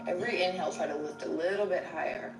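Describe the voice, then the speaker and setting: A woman's voice: fairly low pitch, fast, creaky. A woman guiding a yoga class as instructor, giving encouraging, step-by-step cues. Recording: echoey room, distant mic, music behind. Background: music.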